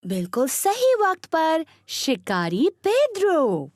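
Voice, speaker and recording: A woman voicing a cartoon schoolteacher who presents a children's play in a theatrical, deliberate way. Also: very high-pitched, wildly swooping pitch, a little mellow, no echo, clean studio sound, full character voice. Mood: narrating play. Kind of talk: children's cartoon dubbing, stage announcing.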